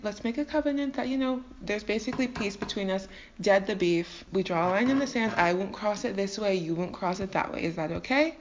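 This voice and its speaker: A woman's voice: medium pitch, lively intonation, fairly quick, slight background noise, natural voice. Blustering older patriarch, played by a female storyteller: indignant, dramatic, slangy delivery; lo-fi sound. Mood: indignant, then conciliatory. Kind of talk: comedic storytelling dialogue, modern slang.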